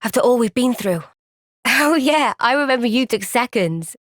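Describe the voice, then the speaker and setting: Woman voicing a gentle young soldier girl. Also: on the high side, animated, moderate pace, no room sound, studio quality, natural voice. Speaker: game character. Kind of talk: game cutscene voice acting. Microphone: close studio mic.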